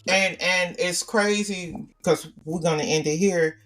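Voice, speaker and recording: A woman with a deep voice, co-hosting a casual podcast chat and pressing her points with repetition. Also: steady tone, a little slow, fairly bright tone, recorded from a distance, faint music behind. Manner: opinionated, repeats points. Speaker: podcast co-host.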